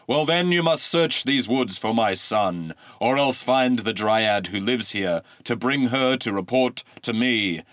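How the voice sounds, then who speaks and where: Casting: booming imperious god, wrathful and vengeful, read by a man, dry close sound. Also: lively intonation, unhurried, few pauses, a bit bright, no room sound. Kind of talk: storybook reading.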